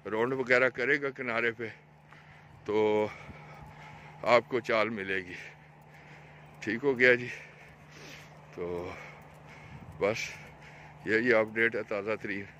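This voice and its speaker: Pakistani man vlogging outdoors with background noise. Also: sharp tone. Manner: repetitive, pointing things out to viewers. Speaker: fishing vlogger. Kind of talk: casual vlog commentary. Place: outdoors on an open road along a dam. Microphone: handheld phone camera, speaker behind it. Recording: noisy outdoor phone video, ambient rumble.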